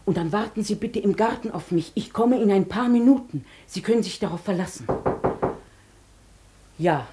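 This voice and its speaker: A woman playing a dignified, wistful hotel guest in a German radio drama, with a soft, often breathy voice and a formal, measured manner. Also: medium pitch, animated, background noise, lightly acted. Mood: composed, brisk. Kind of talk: German radio play acting.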